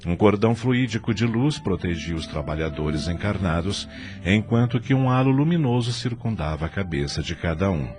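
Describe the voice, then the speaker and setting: A man's voice: low-pitched, expressive, moderate pace, music in the background. A man narrating a scripted audio drama in a formal, literary storytelling manner.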